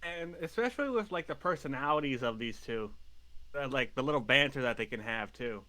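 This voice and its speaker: A man's voice: mid-range voice, expressive, brisk, pushed and bright. A man co-hosting a fan review show in casual, conversational chat.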